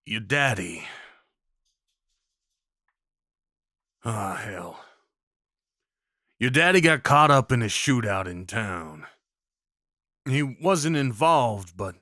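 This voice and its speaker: A man's voice: lowish voice, pushed and bright, no echo, studio quality, full character voice. A man playing a rugged, kindly cowboy of the Old West in a roleplay audio drama, with a folksy, reassuring drawl. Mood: hesitant, somber.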